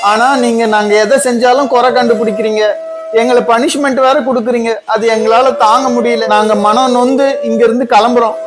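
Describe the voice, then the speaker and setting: A man's voice: very high voice, fairly even intonation, a little fast, music in the background. A man playing a humble, aggrieved house servant in a comedy skit, earnest and deferential. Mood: hurt, earnest.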